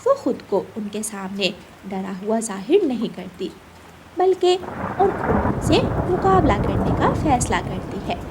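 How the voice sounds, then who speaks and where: A woman's voice: mid-range voice, big pitch swings, unhurried, background noise. A woman narrator reading a scripted children's story.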